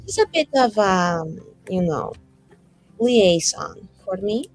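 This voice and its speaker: A woman voicing a coy, evasive mage with a wry, drawn-out way of answering, in a tabletop roleplay. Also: medium pitch, very animated. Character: evasive, coy, gifted female mage playwright. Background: music.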